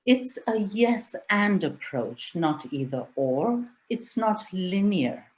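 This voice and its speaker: A middle-aged woman who grew up in India, presenting an online webinar over a video call in a measured, step-by-step way. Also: mid-range voice, big pitch swings, low-quality recording.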